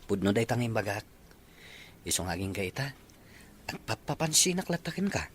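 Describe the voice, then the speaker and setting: A man's voice: lowish voice, sing-song, normal speed, a bit bright, a little hiss or hum. A man playing an earnest, polite young suitor in an audio drama. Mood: courting. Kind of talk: Ilocano audio drama acting.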